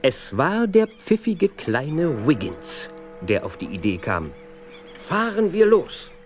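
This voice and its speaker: An actor playing a brilliant, impatient detective in a German radio play, with a deliberate, dramatic delivery and hushed phrase endings. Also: male voice, a little high, very animated, faint music behind. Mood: brisk. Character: brilliant, theatrical male detective, sure and impatient.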